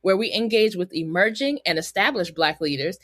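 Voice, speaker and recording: A woman's voice: medium pitch, expressive, brisk, a bit bright. A woman hosting a podcast interview, chatty and upbeat, laughing easily as she talks with her guest. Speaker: podcast host. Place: Bay Area.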